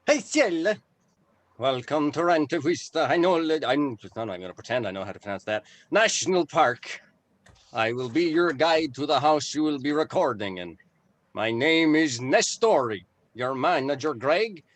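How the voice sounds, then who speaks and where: A man's voice: a little high, expressive, moderate pace, no echo, natural voice. A man in an informal table read playing a mild-mannered, matter-of-fact park ranger and guide. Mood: polite.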